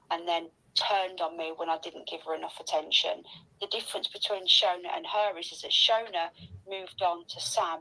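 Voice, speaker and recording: Woman, gravelly and forceful, foul-mouthed and confrontational, gossipy voice-note rant. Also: fairly low pitch, poor audio. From Northern England. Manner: blunt, aggressive, heavy swearing. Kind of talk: sweary, ranting voice-message gossip.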